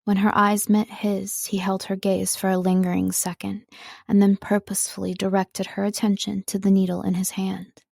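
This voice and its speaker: A woman's voice: mid-range voice, completely flat delivery, normal speed, creaky, darkish tone, no room sound. Dry, close-sounding woman reading an audiobook. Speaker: audiobook narrator, voice-over artist. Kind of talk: scripted audiobook narration.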